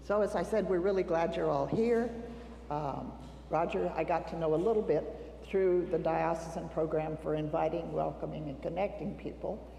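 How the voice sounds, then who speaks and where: An event organizer introducing the guest speaker at a church retreat with a warm, enthusiastic manner. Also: male voice, on the high side, fairly even intonation, normal speed, gravelly, slight background noise. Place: church hall. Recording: echoey hall, faint ambience.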